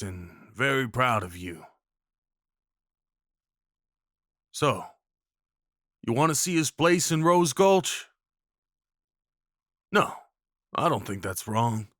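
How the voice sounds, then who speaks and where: Man acting, Old West cowboy, protective, awkwardly kind, informal, medium pitch, intimate roleplay, dry recording. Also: fairly bright tone, no echo. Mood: earnest, steady. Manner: folksy, reassuring, informal.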